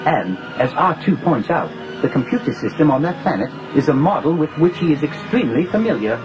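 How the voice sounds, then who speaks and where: A man's voice: on the high side, very animated, brisk, rough recording. An actor playing a prim, deferential male protocol droid with formal, pedantic speech in a 1980s audio drama. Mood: fussy, polite. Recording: music behind, radio drama production.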